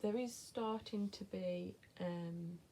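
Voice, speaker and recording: A woman's voice: mid-range voice, takes it slowly, warm tone, slight background noise, rough recording. A woman in her thirties with a West Midlands accent, chatting casually to camera as she answers viewer questions, blunt and with whispered asides. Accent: West Midlands English. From England.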